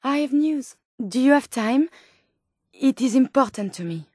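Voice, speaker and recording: A woman's voice: high voice, expressive, unhurried, darkish tone, breathy edge, no echo, clean studio sound, exaggerated voice. An actress voicing a polite, curious female robot scientist from a video game, with a French accent and formal, precise, earnest phrasing. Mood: insistent, polite. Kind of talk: video game companion dialogue.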